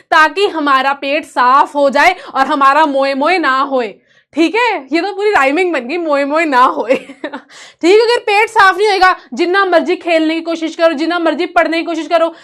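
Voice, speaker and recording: A woman's voice: very high voice, sharp tone. A woman with an Indian accent teaching an informal live online class, playful and teasing with students and switching between two languages. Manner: mixes Hindi and English.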